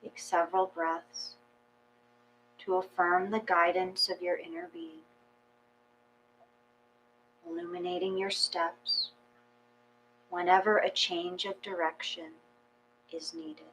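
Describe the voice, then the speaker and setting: Slow, calm woman guiding meditation. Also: on the low side, low-quality recording. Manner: slow, calm, measured reading with long pauses. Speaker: meditation guide. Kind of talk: guided meditation reading. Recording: faint mains hum.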